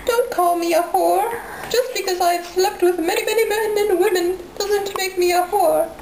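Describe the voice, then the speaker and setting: A woman's voice: extremely high pitch, steady tone, moderate pace, a little mellow. A woman voicing a clingy, wronged lover on a prank phone call, insistent and mock-earnest. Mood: indignant. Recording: roomy, noisy home camera recording.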